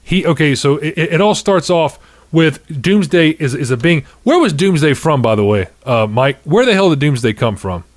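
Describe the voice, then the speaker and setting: A man's voice: fairly high pitch, expressive, moderate pace, no room sound. A man in his thirties hosting a casual podcast, chatting and ranting in a profane, opinionated way. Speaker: podcast host. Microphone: studio condenser microphone on table.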